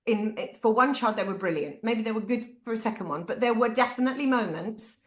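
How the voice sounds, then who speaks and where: A woman with a British accent, a parent giving candid, animated advice to other parents. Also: a little high, a little fast. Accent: British English. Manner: animated, acts out quoted voices. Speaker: parent speaker. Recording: echoey room.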